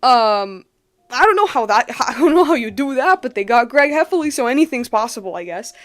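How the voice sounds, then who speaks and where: A woman's voice: fairly high pitch, expressive, fairly quick, fairly bright tone. A woman hosting a game livestream in casual chat, rambling informally and circling back on her phrases. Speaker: game streamer, host.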